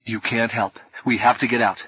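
A man playing an anxious, protective young man who speaks low, urgent and earnest. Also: fairly even intonation, normal speed, slightly rough, no echo, lo-fi sound.

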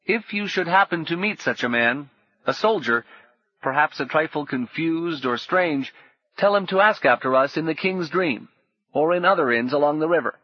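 A man's voice: fairly high pitch, expressive, moderate pace, dry recording, highs cut off, pitched above the performer's own voice. A man doing a sickly sweet menacing stranger. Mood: falsely polite. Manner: smooth, falsely kind, coaxing.

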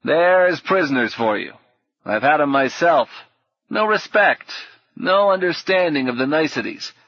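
A man's voice: on the high side, expressive, a little slow, no room sound, dull audio, voice pushed up. A man voicing a vague, befuddled, polite knight in an audiobook, with clipped, dithering, chummy old-fashioned phrasing. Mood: chatty, puzzled. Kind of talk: audiobook character acting.

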